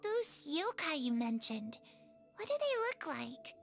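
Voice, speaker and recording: A woman voicing a curious, gentle ghost girl in a game who asks many questions. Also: sits high, sing-song, unhurried, vocal fry, exaggerated voice. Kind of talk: game dialogue. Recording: game audio with soft music underneath.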